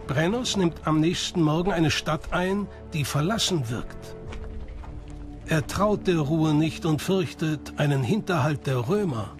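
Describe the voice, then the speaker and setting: Documentary narrator, higher-pitched man. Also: expressive, a little slow. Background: music.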